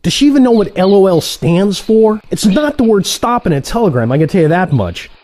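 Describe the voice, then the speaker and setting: A man playing a cocky, easily irritated assassin in a comic audio play, complaining in an animated way. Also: high-pitched, fairly quick. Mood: exasperated.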